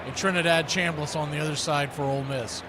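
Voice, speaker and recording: A man's voice: fairly high pitch, steady tone, fast, background noise. An American man hosting a live football watch party, chatting casually and in a folksy way as he previews the game.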